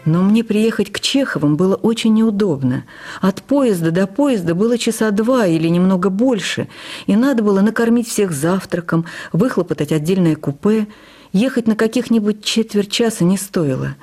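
A woman reading a memoir for radio as expressive, intimate literary narration. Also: mid-range voice, normal speed.

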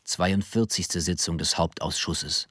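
A man's voice: low voice, fairly even intonation, unhurried, fairly bright tone, slightly rough. A male announcer giving clipped, formal announcements of session dates and speakers.

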